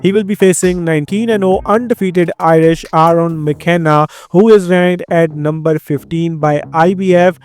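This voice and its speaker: A man's voice: fairly high pitch, fairly even intonation, normal speed, hardly any pauses, darkish tone, smooth. A man with an Indian accent hosting an upbeat, scripted rundown of fight news. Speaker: host. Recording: faint background music.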